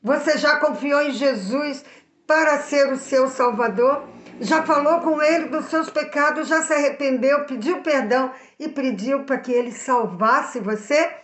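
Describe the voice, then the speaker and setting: Woman doing a kids bible lesson, kinda high voice, slow and earnest, echoey room. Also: a bit bright. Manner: earnest, slow and emphatic, asks the listener questions. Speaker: children's Bible teacher.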